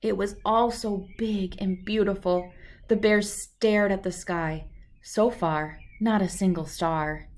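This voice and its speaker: A woman reading a children's storybook aloud in an expressive narrating style. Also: medium pitch, slow pace. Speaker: storybook reader.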